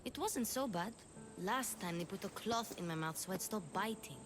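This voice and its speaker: A woman's voice: mid-range voice, animated, moderate pace, over music, close to an everyday voice. A woman playing a brave, affectionate little orphan girl in a video game, speaking earnestly. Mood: plucky, earnest. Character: plucky, affectionate orphan girl, a small child. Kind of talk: cinematic video game dialogue acting.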